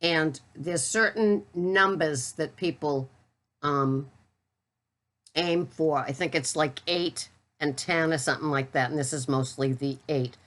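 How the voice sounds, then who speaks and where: A woman's voice: deep voice, measured pace, a bit bright. Older woman putting on a thick Boston accent. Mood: playful. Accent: Boston, put on for fun. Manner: playful, chatty product show-and-tell. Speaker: keto vlogger.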